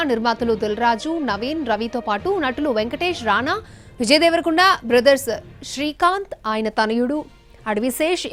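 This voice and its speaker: Quick, high-pitched woman reading the news. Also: fairly bright tone. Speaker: news narrator. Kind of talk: scripted news voice-over narration.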